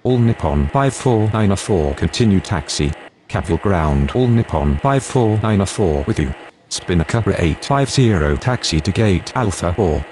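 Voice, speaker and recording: Low-voiced man on air traffic radio. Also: expressive, moderate pace, darkish tone. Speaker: air traffic controller and pilot radio voice in a simulation game. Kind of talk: clipped scripted aviation radio phraseology.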